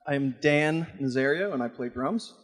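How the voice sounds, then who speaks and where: A man's voice: fairly high pitch, normal speed. A man speaking casually as a band member in an interview, recorded in an echoey room from a distance.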